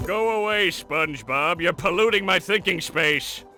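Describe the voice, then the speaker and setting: A man's voice: sits high, a little slow. A man voicing a tiny, pompous, evil schemer in a cartoon video game, theatrical and self-important. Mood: grumpy, evasive. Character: tiny evil male schemer, bombastic, self-pitying mad genius. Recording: loud music bed behind voice.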